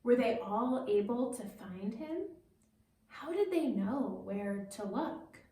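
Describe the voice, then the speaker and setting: A woman's voice: fairly high pitch, lively intonation, slow, dark tone. A woman pastor telling a story to children, explaining things simply and addressing them directly, recorded with a roomy echo from a distant microphone.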